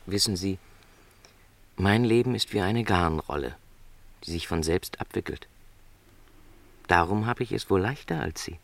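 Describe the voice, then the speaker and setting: Low-voiced, resigned middle-aged salesman. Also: animated, creaky, full character voice. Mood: resigned, thoughtful. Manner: measured, reflective, hesitant phrasing. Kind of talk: literary radio drama dialogue.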